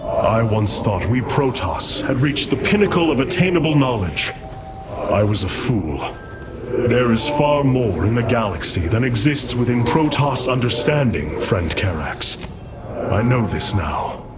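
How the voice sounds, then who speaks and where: An actor voicing a noble, commanding alien leader in a video game, speaking formally and with measured gravity. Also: male voice, mid-range voice, big pitch swings, over loud music. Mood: solemn, reflective. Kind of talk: dramatic video game voice acting.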